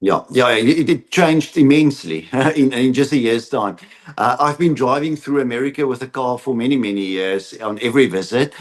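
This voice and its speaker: South African man, halting delivery. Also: mid-range voice. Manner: hesitant, halting phrasing with repeated words. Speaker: interview guest, advocacy organisation representative. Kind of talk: explanatory interview answers.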